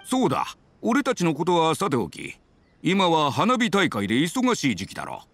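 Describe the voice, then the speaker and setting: A man voicing a gentle, reflective elderly father in an anime game, speaking in a measured, wistful way. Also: fairly high pitch, wildly swooping pitch, no room sound, heavily acted. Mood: curious.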